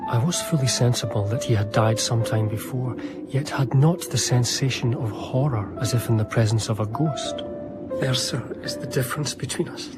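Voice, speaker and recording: An actor playing a devoted, melancholy eighteenth-century gentleman biographer, narrating in earnest, literary first person. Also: medium pitch, moderate pace, over loud music, close to an everyday voice. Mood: melancholy, reflective.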